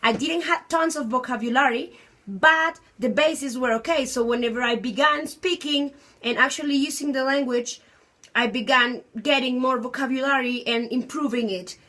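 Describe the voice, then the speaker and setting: High-voiced Colombian woman in her twenties. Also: moderate pace, pushed and bright. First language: Spanish. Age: 20s. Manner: thoughtful, searching for words with fillers. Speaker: English teacher. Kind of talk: personal talk on language learning.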